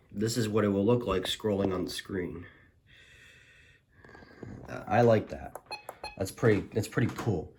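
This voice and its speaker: A man with a fairly low voice walks through a collection in a casual showcase. He rambles informally and hesitates often as he presents. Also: a little mellow, slight background noise. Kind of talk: casual product showcase narration.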